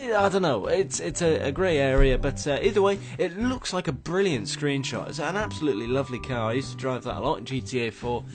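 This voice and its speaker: A man hosting a gaming show, his voice slightly croaky from just waking up as he rambles through screenshot commentary with many restarts. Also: mid-range voice, brisk, hardly any pauses, music in the background. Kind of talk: casual commentary on game screenshots.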